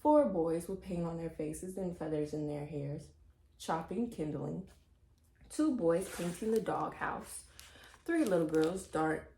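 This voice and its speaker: A woman narrating a children's chapter book in an expressive read-aloud style. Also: on the low side, unhurried, vocal fry, roomy sound, a little hiss or hum, rough recording. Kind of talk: expressive scripted story reading.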